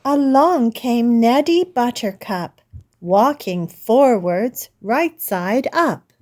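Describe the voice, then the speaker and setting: A woman hosting story time, reading a picture book aloud to young children in a deliberate, sing-song manner. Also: on the high side, lively intonation, darkish tone. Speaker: children's storybook reader and host.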